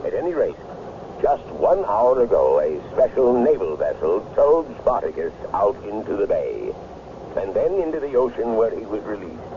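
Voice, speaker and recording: An actor playing a grave, pompously philosophical male news commentator, measured and portentous, in a 1970s radio drama. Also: on the low side. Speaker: news reporter. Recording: tinny, noisy 1970s radio recording.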